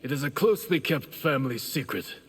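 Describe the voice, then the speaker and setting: A man's voice: mid-range voice, animated, brisk, close to an everyday voice. A man playing a modest, respectful fighter in a fantasy video game. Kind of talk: video game dramatic acting. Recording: loud noise and clicks.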